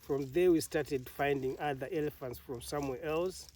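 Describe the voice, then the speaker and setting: Middle-aged Zimbabwean man for a halting, chuckling interview story, mic a bit distant. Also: on the high side, a little mellow. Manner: laughs often, halting, plain storytelling. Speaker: elephant carer.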